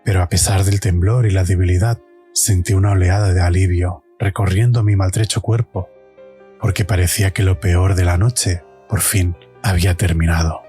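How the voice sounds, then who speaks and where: A man's voice: deep voice, steady tone, moderate pace, dark tone, faint music behind, natural voice. A man playing a suffering hero of a supernatural tale, narrating an audio drama in the first person. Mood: relieved, drained. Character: suffering young male hero surviving a supernatural trial.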